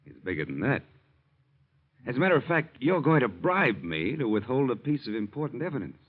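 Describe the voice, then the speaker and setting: A man's voice: medium pitch, very animated, a little fast. A man playing a smug, sly blackmailing chauffeur in an old-time radio drama, with an oily, mock-polite, insinuating manner. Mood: smug, menacing. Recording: muffled tinny old radio recording.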